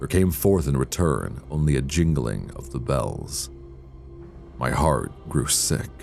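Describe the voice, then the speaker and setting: Man, extremely low voice, creaky, dramatic gothic narration, deliberate and theatrical, music underneath. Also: animated, darkish tone. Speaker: audiobook narrator.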